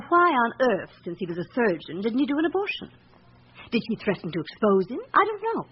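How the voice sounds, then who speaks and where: Radio play role: proud, guarded society wife living in fear; formal delivery with wide swings of pitch, on a muffled, hissy recording. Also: female voice. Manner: formal, evasive, dramatically earnest. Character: anxious upper-class wife, proud and guarded, fearing her husband. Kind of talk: radio drama acting. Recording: dull radio recording with background hiss.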